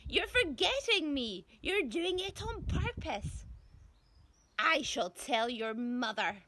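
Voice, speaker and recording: A woman's voice: sits high, big pitch swings, pushed and bright, pitched above the performer's own voice, exaggerated voice. Woman putting on a screechy, grumpy old granny. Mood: demanding, accusing. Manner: scolding, drawn-out, insulting. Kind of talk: children's storybook character voice.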